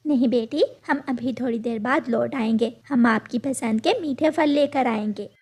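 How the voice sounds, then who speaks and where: A woman voicing a gentle, earnest mother bird in a children's cartoon. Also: on the high side, normal speed, slightly rough. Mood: motherly. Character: gentle anxious mother songbird.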